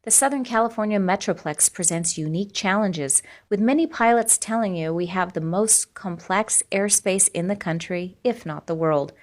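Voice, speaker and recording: An American woman reads a scripted explainer narration in a measured, instructional manner. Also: medium pitch.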